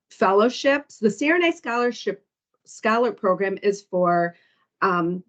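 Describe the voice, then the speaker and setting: Woman presenter, mid-range voice, halting delivery with frequent fillers, reading through program lists over a muffled lo-fi call line. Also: medium pitch. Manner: hesitant, frequent ums, reads down lists. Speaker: foundation staff presenter. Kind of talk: orientation call presentation walking through slides. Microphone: conference call audio. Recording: dull lo-fi call recording.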